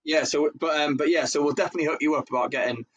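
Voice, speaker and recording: A man co-hosting a podcast, chatting excitedly and calling his guest "mate" a lot. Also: a little high, rapid-fire, breathy edge. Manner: excitable, peppers talk with "mate". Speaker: podcast co-host. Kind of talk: casual podcast conversation.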